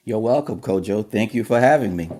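Male teacher from Haiti, very deep voice, pauses and fillers as he answers a radio host. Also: expressive, a little mellow. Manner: hesitant, many fillers. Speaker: history and government teacher. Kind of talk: radio interview guest.

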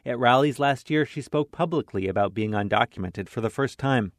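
A man narrating a radio news feature in a measured, scripted delivery. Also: mid-range voice, no echo, clean studio sound. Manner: measured, even reporting. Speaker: reporter.

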